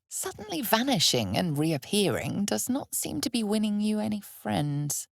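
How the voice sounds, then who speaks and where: Ominous, gravelly, low-voiced fantasy game narrator. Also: female voice, no room sound, studio quality, heavily acted. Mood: dry, wry. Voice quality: husky, gravelly, breathy. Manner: measured, deliberate, hushed theatrical delivery. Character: ominous, knowing female narrator of dark fantasy. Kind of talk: dramatic scripted second-person fantasy game narration.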